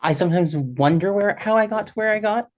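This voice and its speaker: A man's voice: sits high, brisk. A man talking casually as a panelist about his career as a music programmer for radio.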